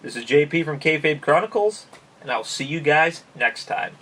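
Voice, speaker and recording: A man's voice: a little high, animated, moderate pace, a bit bright. A man from Canada hosting a casual review vlog, chatty and laughing often, with lots of fillers. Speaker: YouTube channel host. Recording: voice somewhat distant from mic.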